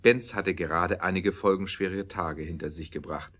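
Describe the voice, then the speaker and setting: Very deep male storyteller. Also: steady tone, normal speed, fairly bright tone. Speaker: narrator. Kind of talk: scripted audio-drama narration.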